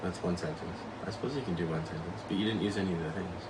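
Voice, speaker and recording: Man telling a story over a board game. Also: low-pitched. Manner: playful, drawn-out dramatic storytelling with bursts of emphasis. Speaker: co-host. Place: small room indoors. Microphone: far camera mic. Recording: distant camera mic, faint room noise.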